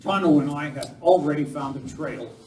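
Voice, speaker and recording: An earnest, commanding western hero acted by a man in a live radio play. His voice sits a little high. It echoes around the room over faint noise. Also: moderate pace, dark tone, close to an everyday voice. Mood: resolute. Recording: roomy, distant live recording, faint noise.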